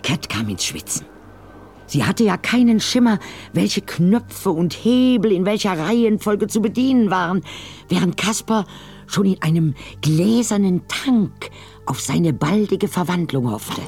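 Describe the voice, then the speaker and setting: A man's voice: sits high, animated, unhurried, gravelly, darkish tone. A man narrating a German audio drama in a dramatic storytelling style. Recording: music behind.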